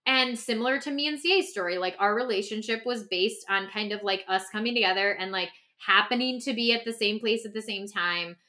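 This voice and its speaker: A woman co-hosting a podcast in a casual, reflective conversation, talking in an animated, informal way with lots of "like". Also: medium pitch, fairly quick, hardly any pauses. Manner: animated, informal, peppers talk with "like". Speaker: podcast co-host.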